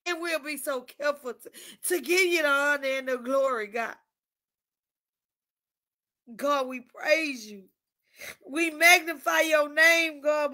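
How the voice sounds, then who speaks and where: A woman's voice: high-pitched, sharp tone. A mother leading an impassioned prayer, crying as she calls out to God after each phrase. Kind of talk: fervent spoken prayer.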